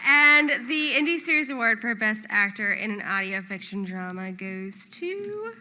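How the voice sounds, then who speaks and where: A woman's voice: medium pitch, lively intonation, measured pace, pushed and bright, a little hiss or hum. A woman presenting awards at a live ceremony on a theatre stage. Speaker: voiceover artist.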